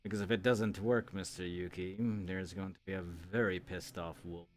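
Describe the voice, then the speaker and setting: A man playing a grave, formal town authority in an improvised fantasy roleplay, speaking in a measured, ominous way. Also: deep voice, fairly even intonation, a little hiss or hum, lightly acted. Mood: stern, measured. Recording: music behind the voice. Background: music.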